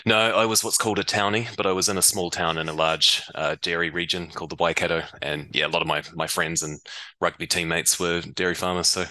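A man from New Zealand with a New Zealand accent, a startup co-founder and CEO explaining things in a relaxed way as a podcast guest. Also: low-pitched, brisk, no room sound. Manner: relaxed explaining, uses reckon and heaps. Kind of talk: podcast interview.

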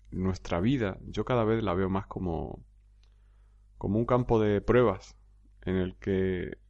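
A man's voice: fairly low pitch, darkish tone, dry recording, muffled recording. A man hosting a podcast, answering a listener bluntly and emphatically, often repeating his points. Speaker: podcast host and coach. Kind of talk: podcast commentary.

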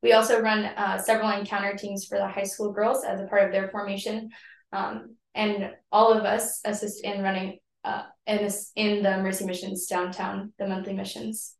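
A woman's voice: mid-range voice, little pitch movement, moderate pace, gravelly. A young woman gives a friendly, straightforward account of her work. She is recorded in an echoey room. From Ohio, USA. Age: young adult. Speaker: lay missionary. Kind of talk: casual chat.

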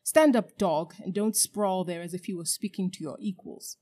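A woman's voice: on the low side, moderate pace, mellow, close to an everyday voice. A woman voicing a cruel, towering witch queen who speaks with cold, scornful command.

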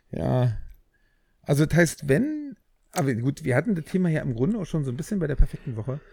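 A man's voice: fairly high pitch, very animated, moderate pace, vocal fry, pauses often, darkish tone, slightly rough, dry recording. A man co-hosting a casual podcast chat, joking around with lots of fillers and restarts. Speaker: podcast co-host.

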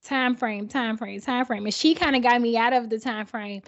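A woman with a somewhat higher voice chats in a relaxed way and thinks out loud as she talks. She sounds conversational and easygoing. The recording is a little dull and lo-fi. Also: moderate pace. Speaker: podcast co-host. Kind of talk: casual chat.

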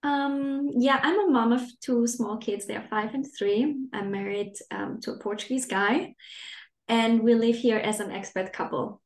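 A woman in her thirties from Austria talks through her ideas as a parenting coach on a podcast. Her voice sits a little high. The recording has a roomy echo. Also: fairly even intonation, normal speed. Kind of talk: podcast conversation.

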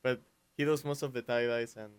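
Smooth, clear-voiced male musician guest. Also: mid-range voice, steady tone, a little fast, no echo. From Venezuela. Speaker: band musician, radio guest. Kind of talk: casual interview chat.

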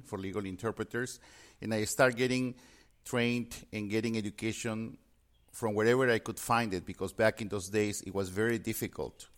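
A man from Peru, a native Spanish speaker, answering interview questions about his work in a halting way with many fillers and restarts. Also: fairly low pitch. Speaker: interpreting business guest.

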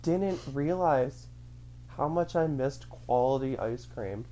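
Rambling mid-pitched chatty storyteller. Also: animated, slow, dark tone, a little hiss or hum. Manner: rambling, filler-heavy, acts out own thoughts in quotes. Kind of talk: casual chat, storytelling.

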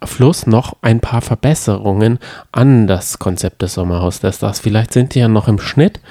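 A man with a low, mellow voice talks casually as a podcast co-host. He rambles and often leaves sentences unfinished. The sound is close and dry. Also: normal speed, no echo. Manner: rambling, trails off mid-sentence. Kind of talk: casual podcast chat about reality TV.

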